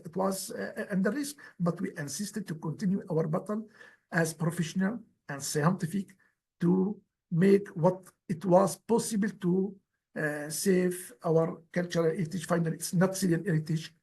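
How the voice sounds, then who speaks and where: Guest speaker: man, native Kurdish speaker, husky high voice, earnest and halting. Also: darkish tone. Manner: earnest, pleading, halting phrasing. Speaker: guest speaker, former heritage director. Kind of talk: formal presentation in non-native English.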